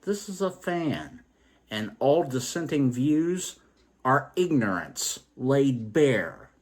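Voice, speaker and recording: Storyteller doing a blustering, pompous loud man, widely swooping. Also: mid-range voice, big pitch swings, slow, husky, voice pushed up, exaggerated voice. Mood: lofty. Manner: blustering, insistent. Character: six loud, pompous, argumentative men in a satirical verse. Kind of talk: dramatic verse recitation.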